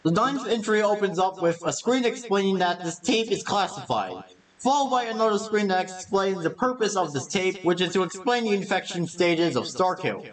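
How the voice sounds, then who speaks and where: A man's voice: high voice, lively intonation, moderate pace, distant mic, rough recording. A man reading scripted narration for a video essay.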